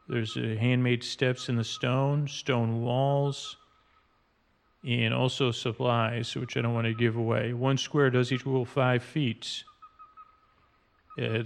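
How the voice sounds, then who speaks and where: A man hosting a podcast, talking in a halting, meandering, unscripted way as he describes things in front of him. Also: medium pitch, gravelly. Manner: halting, meandering, spells out odd words. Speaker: podcast host. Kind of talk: rambling, unscripted narration describing game maps and artwork. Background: music bed and sound effects.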